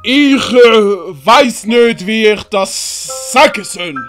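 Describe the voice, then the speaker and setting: A woman with a Swiss German accent voicing a battered, boastful male captain who speaks haltingly in an audio story. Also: on the high side, faint music behind. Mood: shaken, halting. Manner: halting, groggy delivery. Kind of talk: hobby audiobook character acting.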